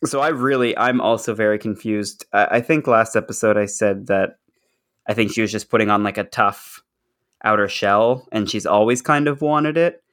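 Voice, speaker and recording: A man co-hosting a podcast, chatting casually and haltingly, often repeating words as he goes. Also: fairly low pitch, fairly bright tone. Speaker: podcast co-host. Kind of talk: casual chat.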